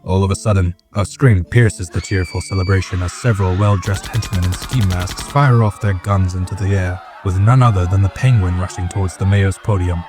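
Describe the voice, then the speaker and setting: A man's voice: low-pitched, steady tone, brisk, few pauses, recorded from a distance, over music. A man giving dramatic scripted narration as the narrator of an audio drama.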